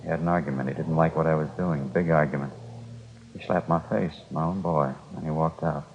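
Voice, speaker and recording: A man's voice: extremely low pitch, steady tone, a little fast. An actor playing a weary, heartbroken crook in his fifties in 1950s radio drama, pleading plaintively and repeating himself. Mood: dejected, hurt. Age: 50s. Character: weary, heartbroken fifty-five-year-old robbery mastermind abandoned by his son. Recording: dull, noisy vintage radio broadcast.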